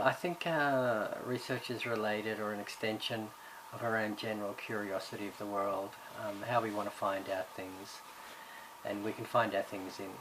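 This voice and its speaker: A man from Ireland, raised in Australia, giving a recorded course introduction as subject coordinator, talking hesitantly with many fillers and restarts. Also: lowish voice. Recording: background noise.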